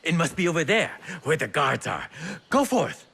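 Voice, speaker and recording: An actor playing a jovial, theatrical old Chinese tea merchant with a husky, teasing voice in a video game. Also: male voice, on the high side, sing-song, moderate pace, sharp tone, heavily acted. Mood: jovial, coaxing. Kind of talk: video game cutscene acting.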